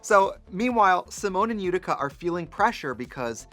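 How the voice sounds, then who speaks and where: Man, show host, casual chat, playful, teasing, fairly high voice, faint background music. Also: very animated. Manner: playful, teasing, quick-witted.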